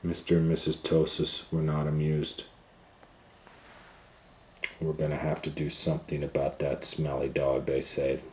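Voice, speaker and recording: A man reading a children's picture book aloud as a storytime host, animated and breaking off for chatty asides to the listener. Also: extremely low pitch, unhurried, long pauses, a little hiss or hum.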